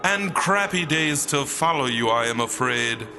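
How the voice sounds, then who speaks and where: A man playing a holy being of light in a comic audio drama, booming and declamatory, with flippant, teasing asides. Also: lively intonation, a little slow, sharp tone, heavily acted. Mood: grand, wry. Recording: music behind the voice.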